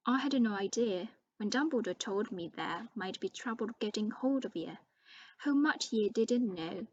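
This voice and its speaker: Blustering rustic giant of a man, loud and gruff, read by a woman; storybook role. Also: mid-range voice, lively intonation, moderate pace, highs cut off, close to an everyday voice. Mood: worried. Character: huge gruff bearded male giant groundskeeper.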